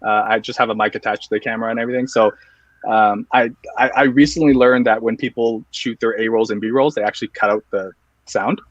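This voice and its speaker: A man's voice: mid-range voice, steady tone, quick pace, dry recording. Earnest man explaining, dull dry audio. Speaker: guest, video reviewer. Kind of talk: casual interview answers.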